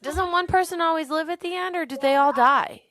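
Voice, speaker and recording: A woman's voice: very high voice, brisk, fairly bright tone. A woman chatting casually and informally as a podcast co-host, peppering her talk with "like". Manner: chatty, informal, heavy use of "like". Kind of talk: casual chat.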